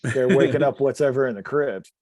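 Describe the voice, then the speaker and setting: A man's voice: mid-range voice, fairly quick, darkish tone, dry recording. A man chatting casually as a player in a tabletop roleplaying game.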